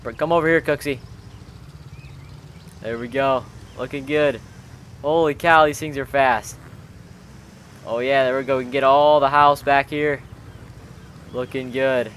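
Man, medium pitch, casual gaming commentary, chatty, slangy, roleplay with friends, faint engine noise behind. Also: fairly even intonation, measured pace, long pauses, fairly bright tone. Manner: chatty, informal, peppered with bro-style slang. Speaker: gaming video host. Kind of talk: casual roleplay commentary while playing a video game with friends.

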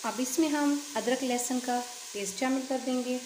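Cooking host: woman, calm and methodical, slightly high voice, recorded in an echoey home kitchen. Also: normal speed, heavy background noise. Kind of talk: step-by-step recipe voice-over.

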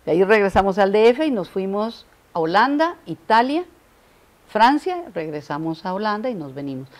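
An older woman from Mexico with a Mexican accent softened by Costa Rican usage, a guest in an interview, telling stories and acting out little dialogues with frequent restarts. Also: medium pitch, moderate pace. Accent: Mexican Spanish softened by Costa Rican usage. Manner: animated storyteller, acts out dialogue, frequent restarts. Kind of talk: reminiscing conversation. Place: studio set styled as living room, indoors.

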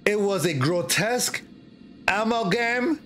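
Middle-aged male horror storyteller: higher-pitched, theatrical, halting, lively intonation. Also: slight background noise. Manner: theatrical, halting delivery with repeated phrases. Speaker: streamer, horror story reader. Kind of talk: dramatic storytelling read aloud.

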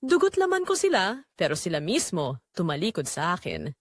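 A woman's voice: on the low side, wildly swooping pitch, moderate pace, no echo, studio quality. A woman narrating a scripted romance drama in the first person, with an emotive, sorrowful delivery.

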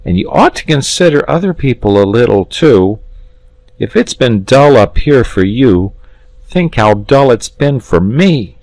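A man reading a story, voicing an eager, excitable young boy in a raised, pleading voice. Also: mid-range voice, a little slow, no room sound, voice pushed up, low-quality recording.

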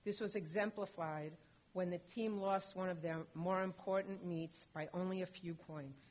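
Older woman, low voice, measured reading at a lectern, dull lo-fi sound. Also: fairly even intonation. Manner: measured, composed delivery. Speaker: mother accepting a hall of fame honor for her late son. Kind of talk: reading a prepared tribute speech. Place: stage with a lectern in a large indoor hall. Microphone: lectern microphone. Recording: dull lo-fi hall recording.

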